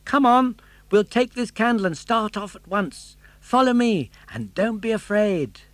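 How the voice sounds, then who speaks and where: A man's voice: lively intonation, a little slow, fairly bright tone, no room sound. A man voicing a brave, eager wooden puppet boy in a storybook reading. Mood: urgent. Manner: high and urgent.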